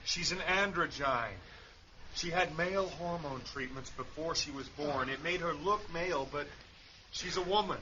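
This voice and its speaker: A man's voice: on the high side, normal speed, pushed and bright. An actor playing a sober, bitter male doctor in a radio play, speaking with exasperated emphasis. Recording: dull lo-fi recording, faint noise.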